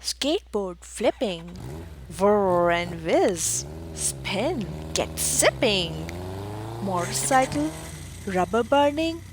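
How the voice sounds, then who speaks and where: Very animated high-voiced woman reading to kids. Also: creaky, noisy background. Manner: theatrical, exclamatory, rhythmic delivery of rhyming lines. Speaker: children's book reader. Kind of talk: animated picture-book read-aloud for preschoolers. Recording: music behind the voice. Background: music.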